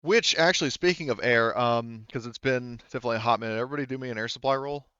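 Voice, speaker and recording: A man with a smooth, fairly low voice works as a game master. He talks casually and haltingly, rambling through rules and narration. The sound is close and dry, a little dull. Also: a bit bright, no room sound. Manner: halting, rambling, informal with mild swearing. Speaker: game master of an online tabletop roleplaying game.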